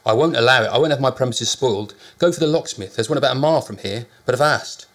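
A bossy middle-aged landlady, put on by a male narrator, scolding in a brisk, sharp voice pitched above his own. She sounds fussy and commanding in a read-aloud story. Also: expressive, vocal fry.

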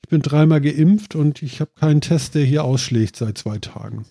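A man's voice: fairly high pitch, moderate pace, vocal fry, dark tone, no room sound, studio quality. A man who sounds nasal from a stuffed nose, hosting a casual chat and rambling with many fillers.

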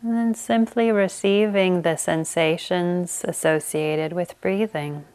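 A woman meditation teacher leading a guided meditation, speaking gently with long pauses. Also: fairly low pitch, fairly even intonation, smooth, faint music behind.

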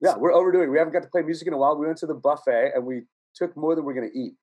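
Husky-voiced man, rambling and profane. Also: a little high, fairly even intonation, rapid-fire, lo-fi sound. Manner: rambling, profane, laughs often. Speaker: musician guest. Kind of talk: casual podcast chat.

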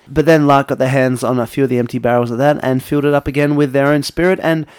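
Male podcast host, Australian, mid-pitched, loose conversational banter. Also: fairly even intonation, fast talker, a little mellow, smooth, dry recording, studio quality. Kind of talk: casual banter.

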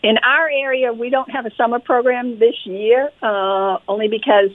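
A woman's voice: on the high side, fairly even intonation, moderate pace, dry recording. Older Maine woman on a phone line. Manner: friendly, repeats words and says "yep" often. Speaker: guest, nonprofit president. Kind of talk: casual radio interview. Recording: tinny phone line, dry.

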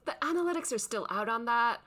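A woman's voice: a little high, expressive, fast, a bit bright. A woman playing a wry, long-suffering podcast host in a comic audio sketch, with a dry manner. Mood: wry, exasperated.

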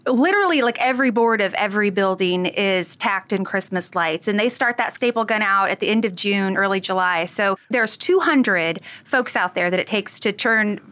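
A woman's voice: mid-range voice, lively intonation, normal speed, few pauses, a bit bright. A woman from Kansas giving a casual promotional interview, talking in a gushing, emphatic, colloquial way. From Kansas, United States. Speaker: theme park representative, radio guest.

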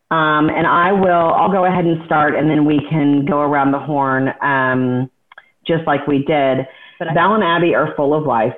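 A woman hosting an online panel of parents, speaking in an emotional, earnest way. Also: low voice. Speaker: panel host. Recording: tinny phone-like audio.

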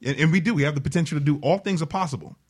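A man's voice: a little high, rapid-fire, slightly rough. A man on a talk show panel discussing ideas conversationally, working through his point with frequent "you know" asides.

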